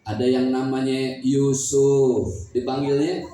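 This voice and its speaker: Slow-talking Betawi preacher in an echoey hall. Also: male voice, mid-range voice, completely flat delivery, warm tone, slight background noise. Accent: Betawi Malay. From Jakarta, Indonesia. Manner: joking, teasing the audience with questions. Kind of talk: humorous religious talk at a community gathering. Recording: echoey, distant hall sound.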